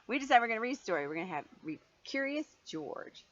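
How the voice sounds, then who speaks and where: A mature woman reading a picture book aloud to a child in an animated, playful way, with chatty asides. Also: a little high, a little fast, no room sound. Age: middle-aged or older. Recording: dull lo-fi home recording, faint noise.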